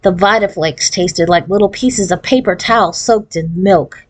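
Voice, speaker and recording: A woman's voice: fairly low pitch, moderate pace. Woman reading a children's novel aloud. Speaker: storybook reader. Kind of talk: expressive read-aloud narration of a children's novel.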